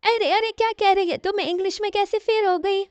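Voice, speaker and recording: A woman's voice: very high voice, fairly even intonation, brisk, dry recording, pitched above the performer's own voice. A woman voicing a bratty, stubborn college-age girl in a cartoon, whiny and argumentative. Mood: alarmed. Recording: dull, lo-fi audio.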